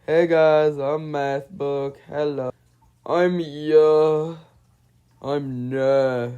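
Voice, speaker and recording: A woman's voice: low-pitched, steady tone, drawn-out words, mellow, clear, resonant tone, lo-fi sound. A woman performing short, exclamatory character lines in the style of an amateur animation voice reel. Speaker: amateur voice actor.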